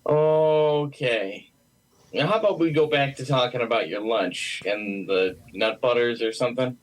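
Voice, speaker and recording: A skeptical man played by an actor in an amateur audio play. His pitch is average and his delivery dry and hesitant. He sounds like a sarcastic coworker who would sooner not join in. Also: a little slow, natural voice, rough recording.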